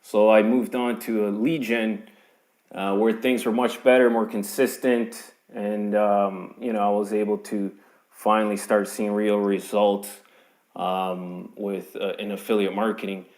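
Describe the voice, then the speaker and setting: A man's voice: on the low side, measured pace. A young man from Canada introducing himself casually in an online audio chat, rambling with lots of ums. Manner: relaxed and rambling, many ums. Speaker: guest account manager.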